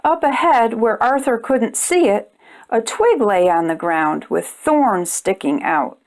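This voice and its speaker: High-voiced woman reading to children, very animated. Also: takes it slowly. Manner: expressive, addressing young children directly. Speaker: storytime host reading a children's book. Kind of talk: children's read-aloud narration.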